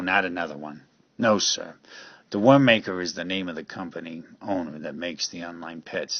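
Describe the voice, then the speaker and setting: A man's voice: low-pitched, fairly bright tone, husky, dry recording, highs cut off, full character voice. A man playing a weary, businesslike police official in a radio drama, speaking matter-of-factly.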